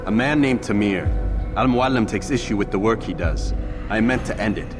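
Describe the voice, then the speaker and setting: A man as a terse, low-voiced proud assassin. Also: moderate pace, natural voice. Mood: curt. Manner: curt, flat, arrogant. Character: proud, terse young male assassin, coolly defiant. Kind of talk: video game acting. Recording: reverberant room, music behind.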